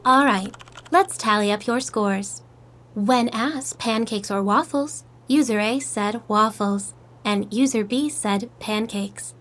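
A woman's voice: fairly high pitch, slow, fairly bright tone. A woman playing a cheerful artificial intelligence matchmaking host in an audio drama, speaking in scripted, upbeat stock phrases. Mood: cheerful, polite.

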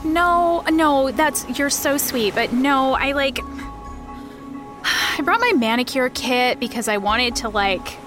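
A woman's voice: sits high, expressive, slow. A woman playing a hesitant, chatty young contestant in a comedic audio drama, rambling casually. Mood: sheepish. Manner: rambling, filler-heavy, casual. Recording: music behind the voice.

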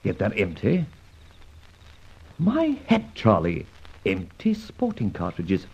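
Old radio serial lead: brisk, well-spoken adventurer, lightly gravelly, very animated delivery. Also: male voice, fairly low pitch, full character voice. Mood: alert, intrigued. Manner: clipped, well-spoken, old-fashioned slang, giving orders. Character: shrewd, commanding gentleman adventurer, brisk male leader. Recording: muffled, noisy old radio recording.

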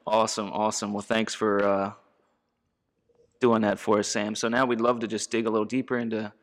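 A man co-hosting a casual interview, upbeat and quick to laugh. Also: fairly low pitch, flat delivery. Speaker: podcast co-host.

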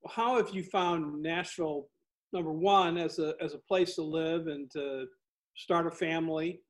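A man's voice: a little high, measured pace. A man hosting an interview, asking long friendly questions with ums and you-knows. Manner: friendly, rambling questions with fillers. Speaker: interview host.

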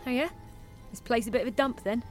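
Actress as high-voiced British teenage girl. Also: quick pace, faint music behind, lightly acted. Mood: dry. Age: young adult. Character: defiant, apologetic teenage girl, new park worker. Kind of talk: naturalistic audio drama acting.

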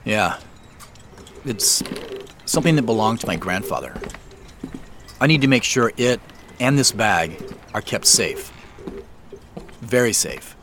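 A man's voice: fairly low pitch, animated, slow pace, pauses often, fairly bright tone, slight background noise. An actor playing a polite, understated man in naturalistic audio drama dialogue. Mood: guarded.

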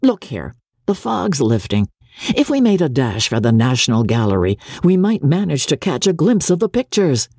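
A mild young gentleman from a period storybook, played by a woman narrator. The voice is low and husky, indulgent and easygoing as he reassures his wife. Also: very animated, normal speed, dry recording, studio quality, exaggerated voice.

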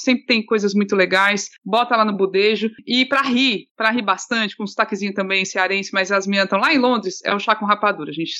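A chatty woman speaks as a podcast guest, mid-pitched and casual, often stumbling and restarting her phrases. The audio is dull and lo-fi. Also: a bit bright.

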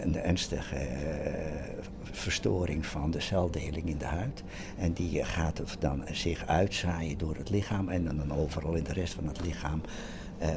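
An older man giving a personal account in an interview, in hesitant phrases with pauses. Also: deep voice, noisy background. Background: music underneath.

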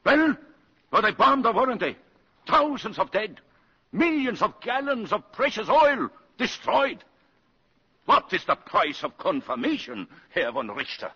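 Casting: irascible senior diplomat, raspy and forceful, swinging in pitch, muffled vintage radio sound. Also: male voice, very animated, unhurried, exaggerated voice. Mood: indignant. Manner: blustering, indignant, emphatic. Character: irascible, imperious senior male German ambassador. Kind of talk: 1950s radio drama acting. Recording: muffled, reverberant 1950s radio broadcast.

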